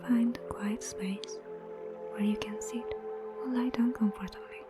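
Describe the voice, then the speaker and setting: Breathy near-whispering woman guiding meditation. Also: fairly high pitch, fairly even intonation. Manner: gentle, soothing, unhurried with long pauses. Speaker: meditation guide. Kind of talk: guided meditation narration. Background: prominent music.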